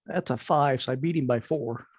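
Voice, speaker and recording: A man's voice: medium pitch, normal speed, husky, no echo, dull audio. A man chatting casually while playing a tabletop roleplaying game.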